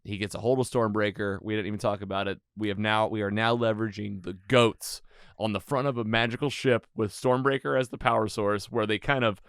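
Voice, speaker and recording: A man's voice: fairly low pitch, steady tone, fairly quick, a bit bright, smooth, no echo, studio quality. A man hosting a casual film recap chat, retelling scenes and acting out bits of dialogue as he goes. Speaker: podcast host leading a movie recap.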